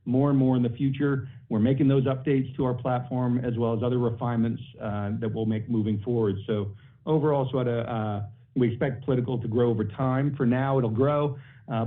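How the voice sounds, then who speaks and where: A man's voice: mid-range voice, steady tone, brisk. A male company executive giving conversational answers on an earnings call. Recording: narrow phone-like conference line.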